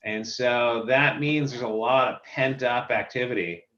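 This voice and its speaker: A man who runs a software company, explaining filing statistics in a casual video call chat. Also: medium pitch, unhurried, reverberant, dull audio. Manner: explanatory, walks through statistics.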